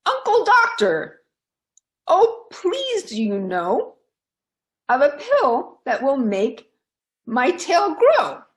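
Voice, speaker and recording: A woman's voice: sits high, huge pitch swings, drawn-out words, pauses often, pitched above the performer's own voice, full character voice. A woman voicing a vain, whiny, jealous young female bird from a children's storybook, in a theatrical way. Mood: pleading. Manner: theatrical, pleading and boastful.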